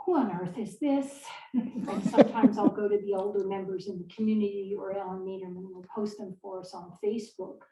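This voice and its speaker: A woman from a historical society adding remarks during a Q&A. She speaks haltingly with many "um" fillers. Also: medium pitch, a crowd in the background. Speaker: historical society member commenting. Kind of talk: informal remarks in a Q&A. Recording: distant, roomy, faint background noise.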